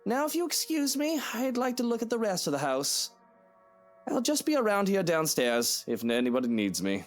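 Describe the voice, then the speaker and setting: Brash, bossy rich estate owner, animated. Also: male voice, sits high, moderate pace, soft background music. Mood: demanding, restless. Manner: brash, teasing, commanding. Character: bossy, wealthy male estate owner, flippant and demanding. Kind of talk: video game voice acting.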